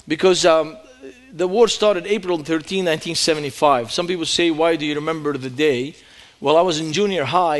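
A middle-aged man with a Lebanese accent, preaching as a guest speaker in a church, animated and emphatic. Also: high-pitched. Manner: animated storytelling, rising emphasis. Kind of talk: sermon.

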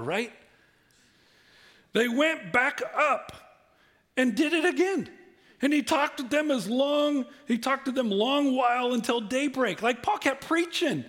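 A middle-aged male pastor giving a sermon, conversational and humorous, swinging from quiet asides to loud bursts. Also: very high voice, very animated, normal speed. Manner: humorous, conversational preaching with big swings in loudness.

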